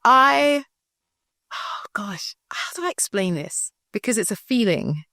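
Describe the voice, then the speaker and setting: A woman's voice: mid-range voice, very animated, takes it slowly, pushed and bright, no room sound, studio quality. A woman from the UK with a British accent, answering interview questions in a reflective, conversational way and often checking that she is understood. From United Kingdom. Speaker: school founder, guest.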